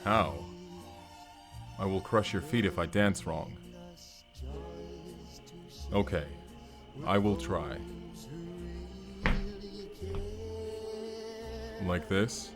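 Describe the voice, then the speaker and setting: An actor playing a hulking, earnest, gentle barbarian man in an audio roleplay, speaking formally and haltingly. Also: low voice, music in the background. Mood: shy, earnest. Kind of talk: first-person audio roleplay acting.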